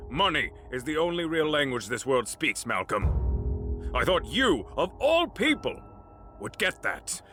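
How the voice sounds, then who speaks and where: A man's voice: fairly high pitch, big pitch swings, measured pace, bright, forceful tone, full character voice. A man acting in an audio drama as a corrupt, self-justifying mayor, defensive and pleading under threat. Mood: defiant. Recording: music under the voice. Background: dramatic music.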